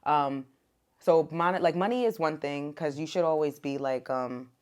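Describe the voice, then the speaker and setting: A woman's voice: low voice, measured pace. A young woman, born in Ethiopia and raised in America, talking casually as a podcast guest about being a mother, with lots of "like" and "um". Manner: relaxed, many fillers like "like" and "um". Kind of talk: casual conversation.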